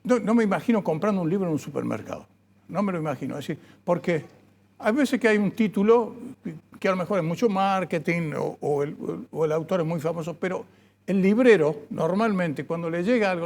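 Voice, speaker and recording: A man's voice: high voice, lively intonation, a little fast, gravelly, a little mellow. A man hosting a radio interview, talking in a reflective, conversational way. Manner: conversational, reflective, uses sayings. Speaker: host.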